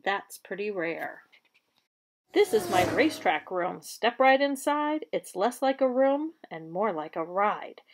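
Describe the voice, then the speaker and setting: A woman reading a children's picture book aloud like a teacher, with expressive storybook delivery. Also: mid-range voice, measured pace, noisy background.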